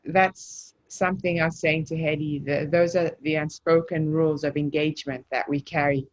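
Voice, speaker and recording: Low-voiced woman from Zambia, relaxed storyteller, dull lo-fi video-call audio. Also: moderate pace. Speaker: guest. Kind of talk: casual live-stream conversation.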